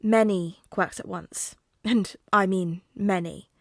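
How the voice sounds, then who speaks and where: A guarded, formal man of dark lineage, read by a woman. The delivery is measured and explanatory, at a medium pitch. The sound is dry, like a storybook reading. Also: fairly even intonation, gravelly, dry recording. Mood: hesitant, explaining.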